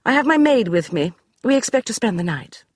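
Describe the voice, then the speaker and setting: A man doing a crisp, commanding Regency lady. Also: very high voice, sing-song, brisk, dry recording, clean studio sound, voice pushed up, heavily acted. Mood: imperious. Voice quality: cool, crisp voice. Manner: commanding, brooks no argument. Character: commanding upper-class Regency lady, short, imperious.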